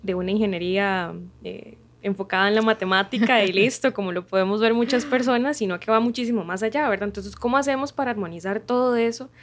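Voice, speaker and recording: A woman's voice: medium pitch, fast, hardly any pauses. A young Costa Rican woman, a student interviewer, asking long, roundabout questions in a conversational, tentative way. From Costa Rica.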